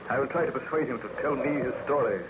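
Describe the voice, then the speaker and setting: An actor playing a steady, kindly ship captain with formal authority in an old radio drama. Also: male voice, mid-range voice, fast talker, close to an everyday voice. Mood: calm, sympathetic. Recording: noisy, muffled old radio recording.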